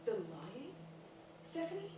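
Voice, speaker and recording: A woman's voice: a little high, very animated, slow pace. A woman in a staged play reading, playing an exasperated, wounded middle-aged mother of a grown daughter. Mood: wounded fury. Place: echoey room at a staged reading, far mic. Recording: far mic, echoey noisy room.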